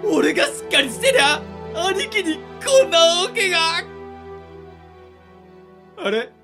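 A very high, excitable voice over music: a devoted gangster underling in a radio drama. He is rough but polite, stammering and always close to overwrought. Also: slow, full character voice. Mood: tearful, distraught. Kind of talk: amateur internet radio drama.

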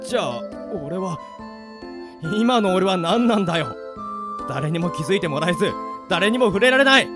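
A man voicing a bewildered young office worker who finds no one can see him, talking in rough casual speech, in an amateur radio drama. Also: sits high, expressive, moderate pace, music in the background. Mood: desperate.

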